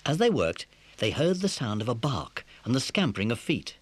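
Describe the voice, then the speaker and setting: A man narrating a scripted children's story as an expressive storyteller. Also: mid-range voice, moderate pace, husky.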